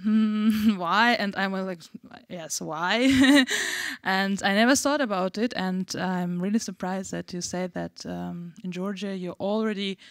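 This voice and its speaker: A woman from Uzbekistan whose first language is Russian, speaking on a panel. She talks slowly and haltingly, often searching for the next English word. The sound is dry and close. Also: medium pitch, gravelly, no echo. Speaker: artist panelist. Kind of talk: panel talk.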